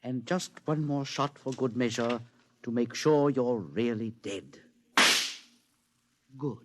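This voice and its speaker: An actor playing a foreign engineer who speaks in a measured, formal, quietly accusing way, in a 1950s radio drama. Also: male voice, medium pitch, heavy background noise, natural voice. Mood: grave. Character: bitter foreign male engineer, calm avenger.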